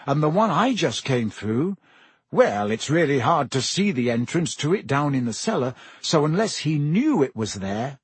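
An earnest, defiant schoolboy hero from a storybook , voiced by a man. He explains himself quickly in a youthful, slightly husky tone, recorded dry. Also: fairly high pitch, big pitch swings, dry recording, heavily acted. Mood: earnest explaining.

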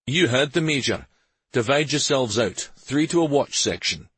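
A man acting out a brisk fantasy warrior officer in an audiobook, stern and protective. The delivery is firm and animated, with some huskiness. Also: medium pitch, slightly rough, no room sound, voice pushed up. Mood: brisk, commanding.

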